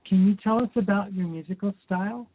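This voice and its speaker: A woman's voice: mid-range voice, a little fast, breathy edge. A woman from California asks interview questions in a casual way as a co-host. Her voice comes through a tinny, phone-like connection. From California, United States. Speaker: radio co-host.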